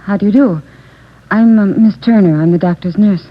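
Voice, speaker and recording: An actress playing a polite, soft-spoken doctor's nurse in a 1940s radio drama. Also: female voice, mid-range voice, moderate pace, natural voice. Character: polite young doctor's nurse at a front desk. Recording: dull lo-fi 1940s radio broadcast.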